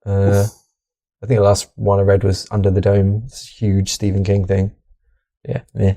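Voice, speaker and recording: A man's voice: on the low side, completely flat delivery, normal speed, vocal fry, mellow, no echo. A young man hosting a podcast in casual chat, making dry jokes, teasing his co-hosts and swearing casually. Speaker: podcast host.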